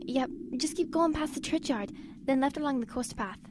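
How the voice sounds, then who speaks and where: A woman's voice: sits high, moderate pace, background noise, natural voice. A woman playing a plucky, eager wartime boy in an audio drama, speaking. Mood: hushed, urgent.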